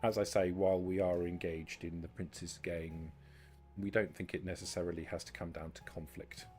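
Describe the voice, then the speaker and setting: Tabletop game role: guarded, courteous female vampire diplomat acted by a man, low voice, formal and measured, soft music behind. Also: level tone, close to an everyday voice. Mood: formal, diplomatic.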